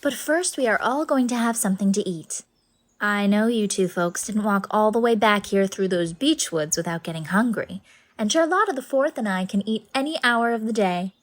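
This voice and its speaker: A woman's voice: medium pitch, expressive, moderate pace, close to an everyday voice. An actress playing a sweet, whimsical middle-aged lady in an audiobook drama, with effusive, playful, theatrical phrasing. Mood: welcoming. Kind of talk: scripted audiobook drama acting.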